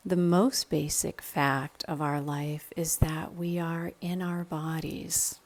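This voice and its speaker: A woman leading a guided meditation in a calm, measured, instructional manner. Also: lowish voice.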